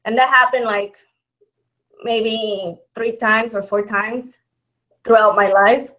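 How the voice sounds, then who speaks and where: A woman's voice: on the high side, steady tone, slow pace, a bit bright, low-quality recording. A woman in her thirties from Mexico, with a Mexican accent tinged with Texan, chatting casually as host and reading out viewer questions. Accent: Mexican with some Texan. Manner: chatty, laughs often, restarts phrases. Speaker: channel host.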